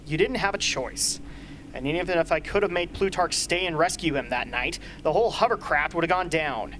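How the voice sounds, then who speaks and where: Radio play role: bossy, gruff male mentor, man acting, middle-aged, faint noise behind. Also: on the high side, normal speed, fairly bright tone, close to an everyday voice, rough recording. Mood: tense, regretful. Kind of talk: amateur radio drama acting. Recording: hobby recording with ambient noise.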